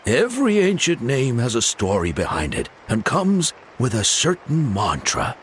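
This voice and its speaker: Brief: reverent game messenger, formal, unhurried, with hugely sweeping intonation. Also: male voice, medium pitch, huge pitch swings, slight background noise. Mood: solemn. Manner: formal, theatrical. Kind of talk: video game voice acting.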